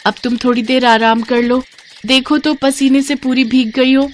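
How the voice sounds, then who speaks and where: A woman voicing a caring little girl, high voice. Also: little pitch movement, normal speed, slight background noise, lightly acted. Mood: worried.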